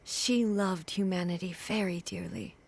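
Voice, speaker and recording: An actress voicing a regal divine woman ruler, speaking in a measured, formal and solemn way. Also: medium pitch, slight background noise, natural voice.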